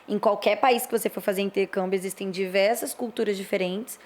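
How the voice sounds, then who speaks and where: A Brazilian woman in her thirties talks in a relaxed, candid way, thinking aloud in long reflective stretches. Her voice sits at a middle pitch, and she co-hosts a casual chat. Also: a little fast. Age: 30s. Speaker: co-host.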